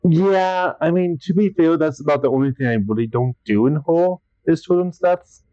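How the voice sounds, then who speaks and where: A man's voice: a little high, moderate pace, warm tone, no echo. A young man co-hosting a casual podcast chat, easygoing and a bit hesitant. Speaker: podcast co-host. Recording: dry, dull lo-fi sound.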